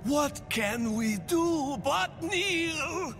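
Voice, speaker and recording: A man's voice: extremely high pitch, animated, drawn-out words, over music, heavily acted. An actor playing an awestruck, half-crazed old monk in a game, theatrical and rapturous in his devotion. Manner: rapturous, swooping, wandering devotion.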